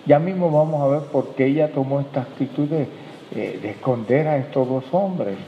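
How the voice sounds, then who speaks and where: A man preaching a sermon as pastor, rising emphatically and dropping soft as he addresses the congregation. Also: mid-range voice, normal speed, a little hiss or hum. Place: church hall. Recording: tinny, echoey hall recording.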